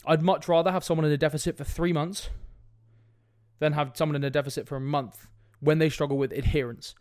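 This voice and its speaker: A man's voice: fairly high pitch, fairly even intonation, fairly quick, a little mellow, no echo. Sniffly young man, dry close sound. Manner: direct, casual, swears, frequent "right?" asides. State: sniffly with a cold. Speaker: fitness coach podcast host. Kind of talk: casual solo podcast talk.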